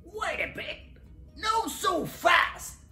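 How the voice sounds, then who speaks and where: Bristly storybook echidna, acted by a storyteller: bossy, raised pitch, insistent, roomy echo. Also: wildly swooping pitch, takes it slowly, fairly bright tone, faint music behind, full character voice. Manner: insistent, exclaiming. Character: bossy, bristling echidna giving cooking advice.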